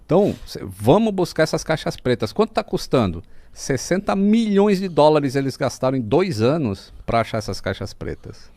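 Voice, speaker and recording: A man's voice: on the high side, sing-song, moderate pace, mellow, no room sound. A man with a Brazilian accent talking casually as a podcast guest, explaining things like a storyteller and mimicking sounds as he goes.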